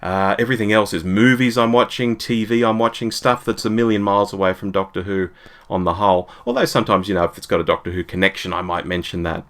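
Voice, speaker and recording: Low-voiced man chatting as a podcast guest. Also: fairly even intonation, quick pace, a bit bright. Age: 40s. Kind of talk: casual interview chat.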